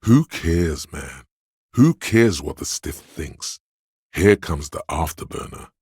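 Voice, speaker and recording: A man voicing a seductive, hedonistic inner voice of craving in a video game, gravelly and husky, coaxing slyly and savouring his words. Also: rumbling low, expressive, slow pace, a little mellow, no room sound, studio quality, heavily acted. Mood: eager, tempting. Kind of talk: dramatic video game narration.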